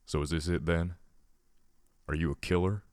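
A man voicing a gruff, weary old male pony with a folksy, taunting manner, in an audiobook-style story. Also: low voice, fairly even intonation, normal speed, darkish tone, no room sound, clean studio sound, natural voice. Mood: grimly mocking.

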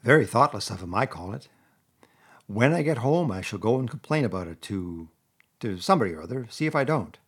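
Man playing a grumbling storybook mole, animated pitch. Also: a little fast, breathy edge, exaggerated voice. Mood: indignant. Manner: plodding, pettish, complaining. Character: grumbling, sulky, literal-minded male mole. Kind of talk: storybook character voice.

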